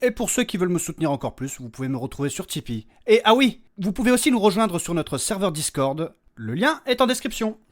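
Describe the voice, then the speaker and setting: A man with a high, animated voice speaks as a podcast host. He delivers a friendly scripted announcement addressed straight to his listeners. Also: fairly quick, hardly any pauses.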